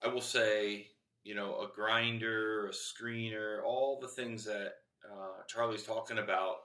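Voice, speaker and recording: A man's voice: on the low side, flat delivery, unhurried, bright, forceful tone, echoey room. A man hosting a podcast, friendly and a little rambling, with plenty of ums. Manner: friendly, rambling, many fillers. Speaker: podcast host.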